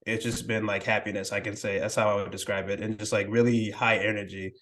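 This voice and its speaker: A young man talking casually as a podcast guest, softly and hesitantly, with many fillers and restarts. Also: on the low side, little pitch movement, quick pace. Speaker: podcast guest, language learning creator. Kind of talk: casual interview conversation.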